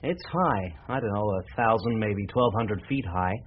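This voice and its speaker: An actor playing an eager, persistent young small-town reporter in a radio drama, polite and a little hesitant. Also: male voice, lowish voice, lively intonation, normal speed, natural voice. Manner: hesitant, polite, then pleading. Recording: slightly muffled, faintly noisy radio recording.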